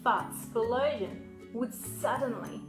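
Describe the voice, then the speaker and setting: A woman's voice: a little high, takes it slowly. A woman author reading a children's storybook aloud with dramatic, playful expression. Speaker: author reading own book. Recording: roomy echo, music behind.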